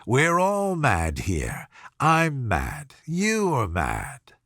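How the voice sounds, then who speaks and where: A man voicing a sly, grinning talking cat from a storybook, languid and calmly teasing. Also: medium pitch, drawn-out words, no room sound, clean studio sound, exaggerated voice. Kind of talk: storybook character voice.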